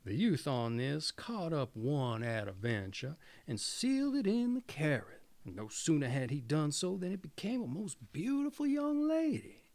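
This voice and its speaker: A man's voice: on the high side, very animated, moderate pace, few pauses, a little mellow, dry recording. A man reading a fairy tale aloud as a storyteller.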